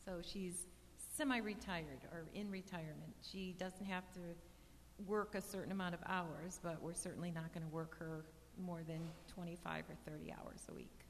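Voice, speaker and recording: A woman's voice: fairly low pitch, animated, moderate pace. A woman who manages a residential park, answering residents' questions at a meeting in a plain, restart-prone way. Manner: plainspoken, frequent restarts and fillers. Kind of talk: casual meeting talk. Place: community meeting hall. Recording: reverberant hall with faint hum.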